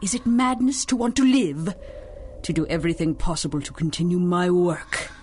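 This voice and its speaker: A woman's voice: fairly low pitch, moderate pace, darkish tone, slight background noise, lightly acted. An actress playing a genteel but sinister middle-aged woman chocolatier in a radio drama, purring and condescending. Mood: silkily menacing. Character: sinister older woman chocolatier, silky, menacing. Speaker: chocolate shop owner. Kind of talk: 1930s-style radio drama acting.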